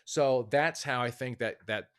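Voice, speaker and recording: A man's voice: mid-range voice, measured pace, a bit bright, clear, resonant tone. Thoughtful man talking it through. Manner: thoughtful, hedging, many fillers. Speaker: author and guest. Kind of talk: casual interview talk.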